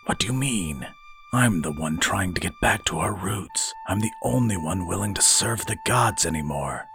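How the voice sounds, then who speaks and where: A man voicing an earnest, devout young halfkin brother who pleads in a hushed whisper. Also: low-pitched, expressive, normal speed, over music, voice pushed up. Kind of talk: audiobook character voice.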